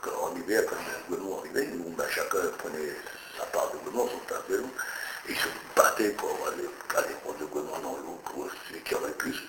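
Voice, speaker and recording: An older man from Brittany, recalling his past in a halting, rambling way, repeating words as he searches for them. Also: rumbling low, echoey room, background noise. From Brittany, France. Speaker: interviewee. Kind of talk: oral history interview.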